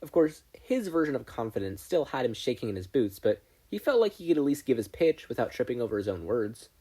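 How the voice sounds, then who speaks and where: A podfic narrator reads fiction aloud. The delivery is animated and expressive, with plenty of pitch movement and a slightly rough, breathy voice. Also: brisk. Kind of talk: expressive scripted story narration.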